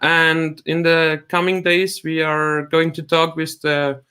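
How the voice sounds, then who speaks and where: A man from Austria with an Austrian German accent, a guest on a video call chatting casually, laughing often and speaking in halting phrases. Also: fairly high pitch, little pitch movement, sharp tone, clear, resonant tone. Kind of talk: casual chat. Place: home at night.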